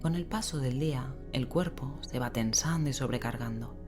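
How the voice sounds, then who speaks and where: A woman leading a guided sleep meditation in a gentle, unhurried way with long pauses, giving slow, soothing instructions. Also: a bass voice. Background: relaxing rain sound and soft music.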